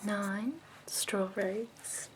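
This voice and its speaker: A woman voicing a prim, chipper escort who does your makeup, speaking softly in a half-whisper with fussy, doting praise. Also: mid-range voice, little pitch movement, a little hiss or hum. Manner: soft-spoken, half-whispered and slow. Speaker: ASMR roleplay performer. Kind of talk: soft-spoken ASMR roleplay.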